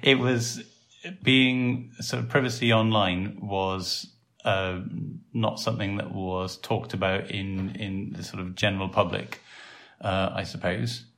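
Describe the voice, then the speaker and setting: A man with a Welsh accent, hosting a casual podcast and talking in a relaxed, rambling way with many fillers. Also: on the low side, unhurried. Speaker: podcast host and site developer.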